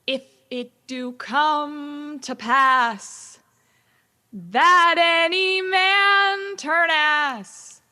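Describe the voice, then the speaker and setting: Sardonic melancholy gentleman, high bright voice, theatrical teasing shakespeare radio play. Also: full character voice.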